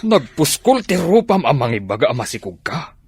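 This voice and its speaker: A man's voice: a little high, big pitch swings, normal speed, breathy edge, no room sound, heavily acted. A man playing a domineering middle-aged sugar daddy in a radio drama, callous, scornful and emphatic. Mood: scornful, angry.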